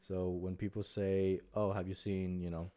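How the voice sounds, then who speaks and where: Low, flat-voiced Mexican man rambling advice. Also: normal speed, slight background noise. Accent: Mexican Spanish. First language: Spanish. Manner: rambling, many fillers and restarts. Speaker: content creator. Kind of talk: casual talking-head advice.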